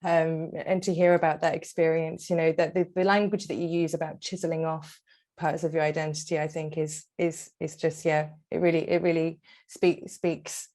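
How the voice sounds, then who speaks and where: A woman with a fairly low voice hosts an online discussion over a video call. She invites participants in with an encouraging tone. She trips over repeated words and fillers as she goes. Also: level tone, moderate pace, a little mellow. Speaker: webinar host.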